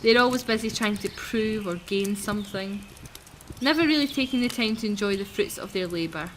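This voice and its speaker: Woman playing young servant heroine, wistful, musing, expressive, visual novel, mid pitch, faint background noise. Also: brisk, close to an everyday voice. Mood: weary, resentful. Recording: noisy, game birdsong behind the voice.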